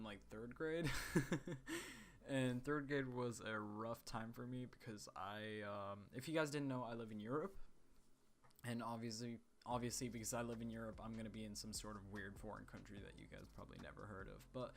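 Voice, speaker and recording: A teenage boy from the Czech Republic recounts a school story in a loose, rambling way. His voice sits at a middle pitch, and he keeps circling back with fillers and half-finished restarts, like a casual gaming storyteller at his desk mic. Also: a little hiss or hum. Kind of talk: casual story time over gameplay.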